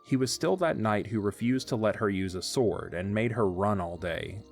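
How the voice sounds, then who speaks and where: A man narrates a romantic adventure story in a calm, measured way. His voice sits a little low. Soft background music plays faintly throughout. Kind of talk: scripted audio drama narration.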